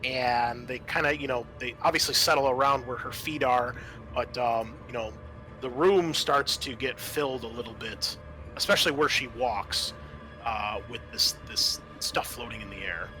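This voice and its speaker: A man runs a tabletop sci-fi horror game as game master. His voice is bright and forward at a medium pitch. He describes scenes in a halting, searching way, with music playing underneath. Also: poor audio.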